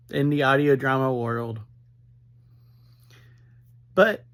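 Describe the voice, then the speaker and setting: A man hosting a casual, rambling review, upbeat and emphatic, who speaks haltingly and gets easily sidetracked. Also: a little high. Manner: upbeat, energetic. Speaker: podcast reviewer and host.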